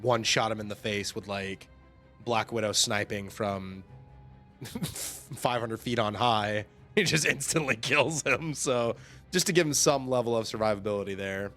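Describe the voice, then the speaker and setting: Young guy rambling on stream, kinda hoarse, clears his throat a lot, faint music behind. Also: fairly low pitch, measured pace, fairly bright tone. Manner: chatty, rambling, laughs often, lots of fillers. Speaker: livestream host. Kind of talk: casual game overview chat.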